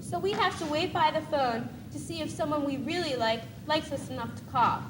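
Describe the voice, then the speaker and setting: A woman's voice: high voice, brisk, lightly acted. A young teenage girl playing a thoughtful, uncertain schoolgirl in a school stage play. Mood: earnest, wistful. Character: thoughtful teenage girl unsure about boys. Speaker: student actor in a school one-act play. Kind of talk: school stage monologue and dialogue. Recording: noisy 1980s school video recording.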